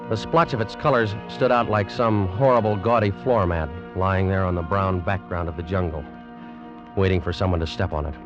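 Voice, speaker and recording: Deep-voiced American adventurer actor, muffled old radio. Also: male voice, close to an everyday voice. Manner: dramatic, emphatic, terse. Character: determined, hard-boiled American snake-hunting adventurer. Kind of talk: old-time radio drama acting and first-person narration. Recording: muffled vintage radio with music.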